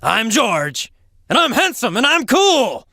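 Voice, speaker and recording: A cocky young man , as a teacher puts him on, loudly chants his boast again and again. The voice is bright and swoops high, and it sounds dry and close. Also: wildly swooping pitch, takes it slowly, no room sound, exaggerated voice. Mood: boastful. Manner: loud, exaggerated chanting.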